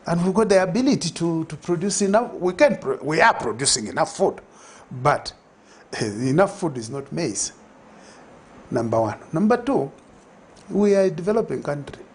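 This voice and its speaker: A man's voice: on the high side, animated, normal speed, breathy edge. An older man with a Zambian accent, a guest in a TV studio interview, talking reflectively with many fillers and restarts. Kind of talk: conversational TV interview. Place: TV studio set. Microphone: lapel.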